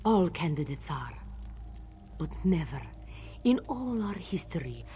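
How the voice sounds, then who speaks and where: A woman voicing a solemn cat-folk priestess leading sacred rites in a fantasy video game, with measured ceremonial delivery. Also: on the low side, big pitch swings, warm tone, slight background noise. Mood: solemn explaining.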